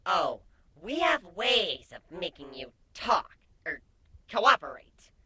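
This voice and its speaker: Changeling showman played by a male reader: theatrical, very shrill and tense, swooping, echoey, for fantasy storybook. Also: very animated, recorded from a distance, heavily acted. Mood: mock menacing. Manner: loud, theatrical, drawn-out proclamations.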